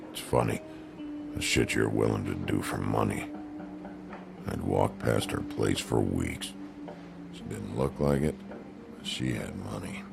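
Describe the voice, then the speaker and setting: A man playing a gruff, down-and-out woodsman, a bitter and self-loathing brute with a gravelly voice, in a dramatic video game. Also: extremely low pitch, a little slow, long pauses, over music, full character voice. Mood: bitter, brooding. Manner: coarse, swearing, rambling.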